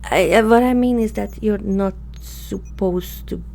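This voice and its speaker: A woman playing a curious, awkward alien scientist in human form, speaking hesitantly and softly to the listener in an ASMR roleplay. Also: medium pitch, gravelly. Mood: matter-of-fact, awkward. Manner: hesitant, halting, softly spoken, sometimes whispering. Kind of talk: ASMR roleplay, one-sided dialogue to the listener. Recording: faint background hum and noise.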